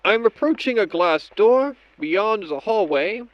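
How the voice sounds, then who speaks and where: A man playing a methodical scientist in an audio drama, narrating each step of an experiment log in a measured, clinical way. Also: high voice, animated. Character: methodical male scientist doctor logging experiments on tape. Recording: dull lo-fi audio with background noise.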